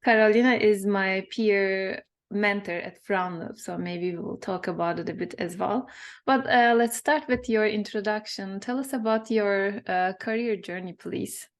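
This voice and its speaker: A woman's voice: medium pitch, unhurried. A woman hosting a podcast interview, friendly and a little hesitant with fillers. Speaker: podcast host.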